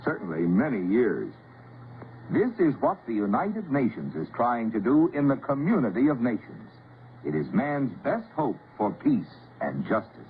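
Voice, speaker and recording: A man's voice: medium pitch, sing-song, moderate pace. A man giving an earnest scripted public service address on old radio, putting rhetorical questions to the listener. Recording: tinny, faintly noisy old radio broadcast.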